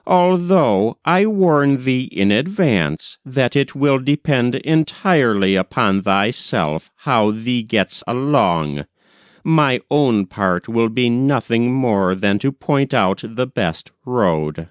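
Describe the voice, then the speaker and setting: Man as a slow, formal old-fashioned lawyer. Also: medium pitch, expressive, clean tone, dry recording, clean studio sound. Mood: cautious, admonishing. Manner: slow, formal, archaic thee and thy. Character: deliberate, formal Quaker-spoken male lawyer, cautious temper.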